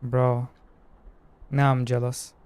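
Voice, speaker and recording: A man's voice: mid-range voice, monotone, slow, a little mellow, clear, resonant tone. A man who develops indie games, chatting casually with a friend on a live stream in an easygoing way. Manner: easygoing, says "bro".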